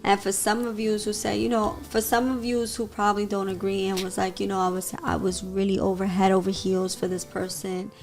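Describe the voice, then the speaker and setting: A woman's voice: medium pitch, little pitch movement, a little fast, few pauses, soft background music. A woman from the Bronx, co-hosting a couple's podcast and giving heartfelt advice to listeners. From the Bronx, New York. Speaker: podcast co-host. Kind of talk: casual couple podcast talk.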